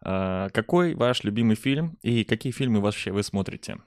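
A man hosting a casual podcast chat, phrasing his thoughts in a measured, hesitant way. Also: on the low side, animated, dry recording, studio quality. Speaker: podcast host.

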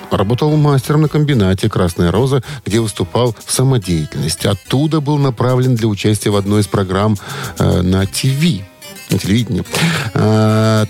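A man's voice: on the low side, a little mellow. A man hosting a radio quiz in a casual, chatty, hesitant and informal manner. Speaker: radio show host running a music quiz.

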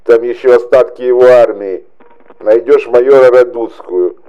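A man's voice: medium pitch, normal speed, clear, resonant tone, dull audio. A man playing a blunt, earthy army colonel giving orders over the telephone in a radio play. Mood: gruff, ordering. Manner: blunt, profane, bossy. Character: gruff, earthy male NKVD colonel.

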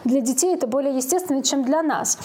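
A woman's voice: sits high, fast talker, mellow. A woman speaking in an explanatory, assertive way as a co-host in a conversational podcast discussion. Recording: faint background noise.

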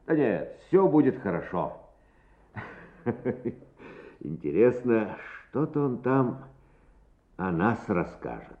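Animated, indulgent man in authority. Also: lowish voice, slow, long pauses. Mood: reassuring. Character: authoritative adult official or school director, indulgent. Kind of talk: Soviet radio play acting. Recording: tinny, echoey old radio play recording.

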